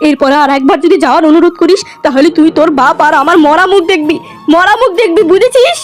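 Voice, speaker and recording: A woman's voice: very high voice, quick pace. A woman voicing a stern, anxious poor village parent, scolding and insistent, in a melodramatic children's cartoon. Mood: angry scolding. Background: music.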